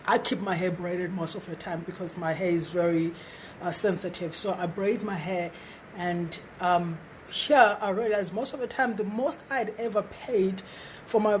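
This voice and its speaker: Husky-voiced woman, eager trainer. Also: medium pitch, fairly even intonation, measured pace, a little mellow, a little hiss or hum. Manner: stutters at times, repeats words; enthusiastic, encouraging. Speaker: facilitator and trainer. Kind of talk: motivational webinar talk.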